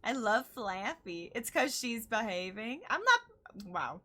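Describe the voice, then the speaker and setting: A giggly woman with a Chicago accent hosts a relaxed online chat. Her voice is bright and ringing, with a high-set tone. Her pitch bounces up and down all the time. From Chicago, US Midwest. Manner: bubbly and giggly, quick to laugh. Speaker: interview host. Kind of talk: casual online interview chat.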